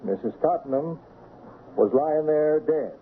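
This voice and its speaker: A man's voice: fairly high pitch, expressive, unhurried. An actor playing a middle-aged, folksy, hesitant small-town sheriff and indulgent father in an old-time radio drama. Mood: businesslike. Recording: tinny, noisy old radio broadcast.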